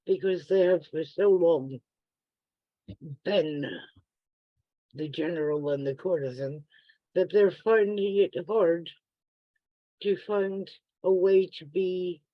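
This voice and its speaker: A woman's voice: fairly low pitch, slow, pauses often, no echo, lo-fi sound. A woman taking part in a workshop, talking through her question in a hesitant, thoughtful, conversational way. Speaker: workshop participant asking a question.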